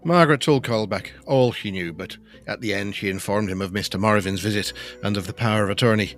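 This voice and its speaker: A man's voice: lowish voice, fairly quick, soft background music. A man reading scripted dramatic narration for a gothic audio story.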